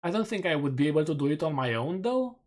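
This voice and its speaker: A man's voice: on the high side, sing-song, quick pace, darkish tone. A man with a Romanian accent, a streamer casually going over community poll results with self-mocking jokes. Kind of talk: casual chat reading community polls.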